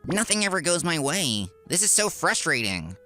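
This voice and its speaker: A man's voice: on the high side, very animated, normal speed, pushed and bright, soft background music. A man voicing a cocky, spiteful office worker in a comic dub, with a sneering, taunting manner. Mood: frustrated. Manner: sneering, taunting, stammers when cornered.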